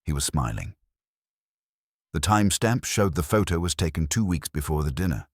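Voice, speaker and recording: A man narrating a vintage detective story in the first person, in a measured, scripted storytelling manner. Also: rumbling low, very animated, no room sound, studio quality. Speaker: audiobook narrator.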